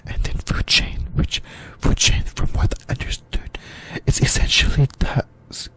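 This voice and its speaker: This is an ASMR host reading an explainer in a breathy whisper. The pace is very slow and halting, with phrases often repeated or corrected along the way. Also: bright, forceful tone. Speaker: host of an anime ASMR channel.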